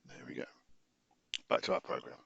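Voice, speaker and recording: A man giving an unscripted, methodical screen-share software tutorial in an explanatory, instructive way, on a dull recording. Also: rumbling low, monotone, normal speed, vocal fry, no echo.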